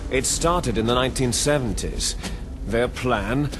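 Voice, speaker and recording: An actor playing a bitter, gloating villainous clone soldier in a video game, with a menacing, taunting, theatrical delivery. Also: male voice, medium pitch, unhurried, background noise, close to an everyday voice. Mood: grim, revealing. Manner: menacing, taunting, grandiose monologuing. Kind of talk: dramatic video game cutscene acting.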